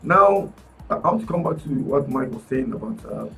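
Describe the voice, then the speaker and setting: A man with a Nigerian accent, arguing politics on a radio talk panel in an animated, emphatic way, often restarting his words. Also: medium pitch, normal speed, recorded from a distance, soft background music. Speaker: political commentator guest. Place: radio studio.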